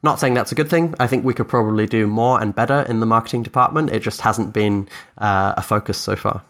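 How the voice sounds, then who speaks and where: A man's voice: on the low side, a little fast, fairly bright tone. A man whose first language is Dutch, a startup founder giving thoughtful, rambling interview answers with many restarts and fillers.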